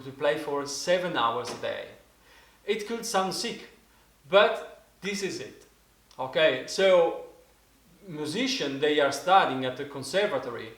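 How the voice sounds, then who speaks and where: A man in his forties with an Italian accent, teaching in a casual spoken lesson, often pausing and restarting as he searches for words in English. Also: fairly high pitch, a bit bright, roomy sound. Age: 40s. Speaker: didgeridoo teacher.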